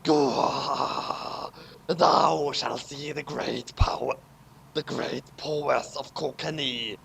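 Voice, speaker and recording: A man's voice: a little high, extremely slow, gravelly, fairly bright tone, a little hiss or hum, heavily acted. A man voicing a gloating evil villain in a theatrical, exclamatory way for a comic fan fiction reading.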